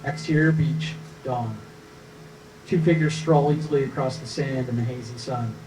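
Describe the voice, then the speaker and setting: A man's voice: mid-range voice, moderate pace, over music. A man reading scripted stage directions as narrator at a live table read, recorded from a distance with some noise.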